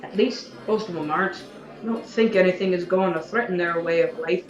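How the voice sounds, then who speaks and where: A woman's voice: on the low side, a little fast, faint music behind, close to an everyday voice. A woman playing an opinionated, restless agitator who talks conversationally and tags remarks with questions, in an audio drama. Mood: persuasive. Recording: echoey room, dull lo-fi sound.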